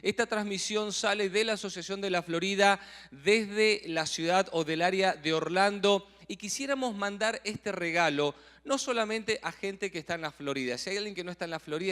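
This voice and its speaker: High-pitched, smooth-voiced man hosting. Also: a bit bright. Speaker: event host. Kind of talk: live online broadcast presenting.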